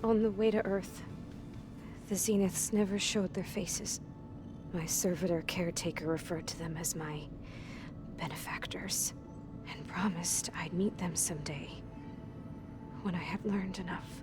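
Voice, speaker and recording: A woman voicing a guarded, hurt young woman in a video game, speaking in hushed, halting phrases that turn defensive and raw. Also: fairly low pitch, steady tone, lightly acted. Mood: wistful. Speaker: game character. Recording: game cutscene with music behind.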